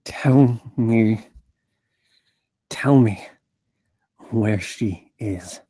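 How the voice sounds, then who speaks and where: A man playing an anguished, defeated captive in a screenplay table read, husky and pleading. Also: on the low side, mellow, no echo, heavily acted. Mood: desperate, defeated. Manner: slow, strained pleading. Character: anguished, defeated male captive being tortured.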